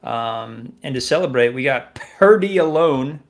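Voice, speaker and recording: A man co-hosting a casual online music radio chat, upbeat and often pausing with "uh" and "um". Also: mid-range voice, lively intonation. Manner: upbeat, hesitant with "uh" and "um". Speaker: co-host.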